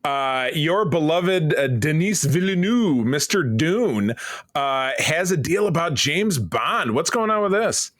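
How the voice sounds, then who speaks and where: A man's voice: fairly high pitch, expressive, slow, fairly bright tone, dry recording, studio quality. A man with a Detroit American accent co-hosting a podcast, chatting casually about pop culture. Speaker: podcast co-host.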